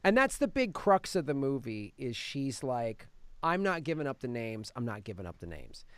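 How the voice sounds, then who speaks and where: A man hosting a casual film review chat as a comedian, telling things in an animated way with mimicked quotes. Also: mid-range voice, normal speed, clear, resonant tone, dry recording. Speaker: podcast host and film reviewer; touring stand-up comedian.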